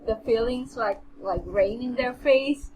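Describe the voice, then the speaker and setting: High-voiced woman in halting, joking chat. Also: darkish tone, low-quality recording. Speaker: podcast co-host.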